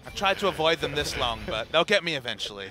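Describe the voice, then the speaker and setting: A man commentating on esports in casual banter, making wry, deadpan jokes. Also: fairly high pitch, quick pace, over music, rough recording.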